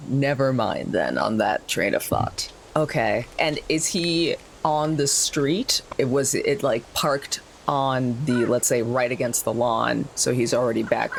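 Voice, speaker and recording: A man's voice: medium pitch, unhurried, gravelly, few pauses, a little hiss or hum, rough recording. A man chatting casually as a tabletop game player, hesitant and thinking aloud. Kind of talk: casual chat.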